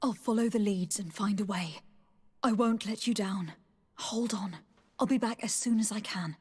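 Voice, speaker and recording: A woman's voice: mid-range voice, normal speed, husky. An actress playing a loyal, composed noblewoman diplomat in a video game, speaking formally and sincerely. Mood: caring, resolute. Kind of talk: dramatic video game acting. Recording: long hall-like reverb.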